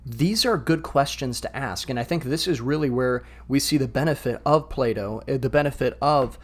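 A man's voice: mid-range voice, normal speed. A man co-hosting a discussion podcast as a pastor, thinking aloud earnestly with frequent stammered restarts.

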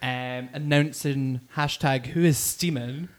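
A man's voice: on the high side, fairly even intonation, unhurried. A Scottish man co-hosting a podcast, talking in a casual, chatty and sweary way. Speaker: podcast co-host.

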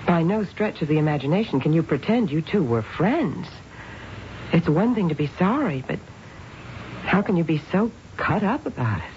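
A woman's voice: lowish voice, lively intonation, moderate pace, natural voice. An actress playing a sensible, concerned wife who reasons insistently with her husband in an old radio drama. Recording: muffled, noisy old radio recording.

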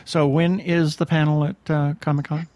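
A man's voice: on the high side, flat delivery, moderate pace, a little mellow. A man co-hosting a webcast, interviewing guests in a casual, chatty way. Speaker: webcast co-host.

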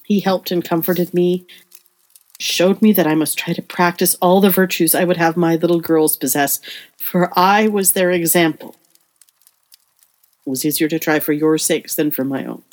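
A woman playing a gentle, devout, wise mother in an audio play, speaking in an earnest and measured way. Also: lowish voice, fairly even intonation, close to an everyday voice. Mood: tender. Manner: earnest, measured, tender counsel. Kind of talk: audio play acting of a period novel.

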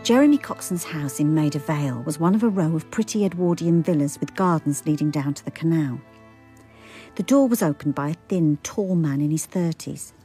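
Radio drama role: cool British policewoman, lowish mellow voice, controlled delivery, music underneath. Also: animated, normal speed. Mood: observant, detached. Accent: British English. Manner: controlled, matter-of-fact. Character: cool, observant female police detective narrating her case. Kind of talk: radio drama narration and dialogue.

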